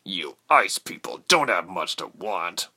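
A man's voice: low-pitched, sing-song, measured pace, pushed and bright, heavily acted. A man voicing a menacing soldier in an audiobook story, commanding and threatening. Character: menacing male soldier, an invader barking orders. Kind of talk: dramatic audiobook character voice.

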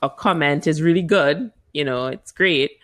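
A woman in her forties from Trinidad with a Trinidadian accent, answering interview questions as a marketing agency owner. She talks in an animated way, laughs often and stretches words for emphasis. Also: low voice, normal speed. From Trinidad and Tobago.

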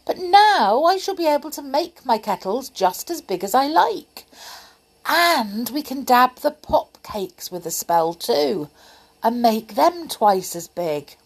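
A woman voicing an excitable old male saucepan peddler who chatters eagerly. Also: fairly high pitch, slow pace, voice pushed up, full character voice.

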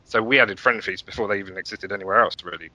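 A man's voice: on the low side, fast, sharp tone, no room sound, poor audio. A middle-aged man talking casually as an interview guest, explaining how he built something in a self-deprecating way. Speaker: interview guest, website founder and developer.

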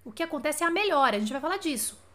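A woman's voice: high voice, lively intonation, very fast, pushed and bright. A woman from Brazil teaching French in a live-streamed lesson, chatty and checking in with viewers with frequent "tá?". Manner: chatty, asks for feedback with frequent "tá?". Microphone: live stream setup.

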